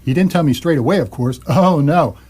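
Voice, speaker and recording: A man's voice: a little high, brisk, darkish tone, close to an everyday voice. A man playing an indignant, stubborn and sarcastic homeowner in a comic radio play, with a dry delivery.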